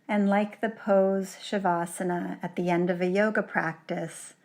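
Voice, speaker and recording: A woman yoga teacher leading a guided relaxation in a gentle, soothing manner, leaving long pauses between short phrases. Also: mid-range voice, fairly even intonation. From Canada.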